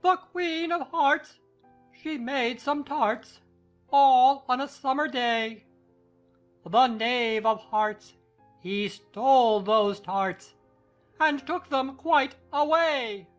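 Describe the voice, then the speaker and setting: A woman's voice: high voice, very slow, soft background music. A woman playing an officious court herald, declaiming formally in an audio drama. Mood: ceremonious. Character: high-voiced, officious court herald announcing proceedings.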